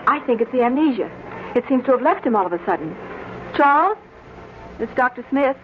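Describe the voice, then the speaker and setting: Earnest, high-voiced 1940s radio sweetheart. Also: female voice, expressive, brisk. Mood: concerned. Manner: earnest, theatrical. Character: earnest, concerned woman, sweetheart or wife, gently firm. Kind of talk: 1940s radio drama acting. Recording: noisy, muffled old-time radio recording.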